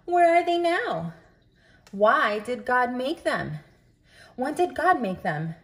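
A woman's voice: sits high, big pitch swings, slow, voice pushed up, heavily acted. A woman voicing eager, curious children asking questions in a storybook read-aloud.

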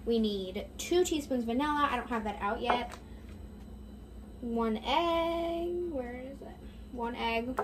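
A fairly high-voiced young woman chats casually through a bake-along in her home kitchen. She talks offhand and thinks out loud as she works. She is picked up by a camera on the counter, with a steady hiss under her voice. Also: slow. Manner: chatty, offhand, thinking aloud while baking. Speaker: baking vlogger. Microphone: camera on the counter, speaker mostly off-frame.

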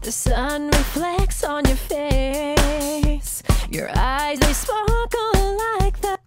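A woman sings a pop and R&B vocal in a high register. The phrases are very slow and drawn out, over a loud music backing. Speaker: singer. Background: music backing track.

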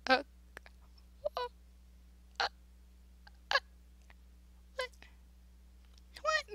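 Girl doing a let's play, reads all the dialogue, bright voice, gets loud then goes all hushed. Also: high voice, dry recording. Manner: emotional, reacts loudly then drops to hushed narration. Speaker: let's play voice-over player. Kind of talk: reading game dialogue aloud with reactions.

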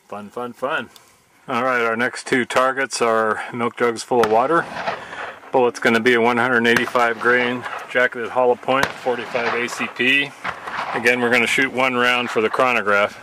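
A man with a mid-pitched voice narrates in a plain, matter-of-fact way, as if running through tests at a range. The recording is noisy and outdoors, with the clatter of the open air around him. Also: level tone, normal speed. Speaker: channel host. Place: outdoor shooting range, partly in a car.

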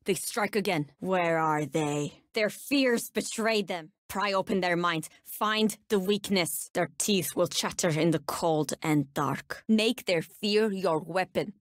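An actress with a Turkish accent voicing a menacing, sardonic nightmare-wielding bounty hunter in a video game, speaking with cool, ominous deliberation. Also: female voice, fairly low pitch, slow, few pauses, no echo, clean studio sound. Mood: determined, menacing. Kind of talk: video game character voice lines.

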